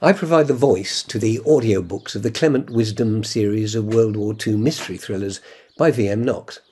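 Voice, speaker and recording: A man narrating an audiobook in a scripted, dramatic style, with measured, suspenseful delivery. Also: on the low side, darkish tone, a little hiss or hum.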